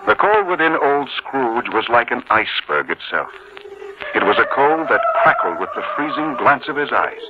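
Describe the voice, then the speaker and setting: A man narrating a radio drama in a theatrical 1950s storytelling style. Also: medium pitch. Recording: muffled 1950s radio recording.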